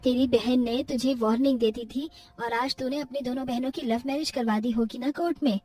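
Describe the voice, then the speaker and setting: A woman's voice: on the high side, fairly even intonation, fairly quick, a little mellow, distant mic, natural voice. Casting: woman as severe middle-aged cartoon mother, curt and accusatory, slightly off-mic. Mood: angry, accusing. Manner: accusing, brusque.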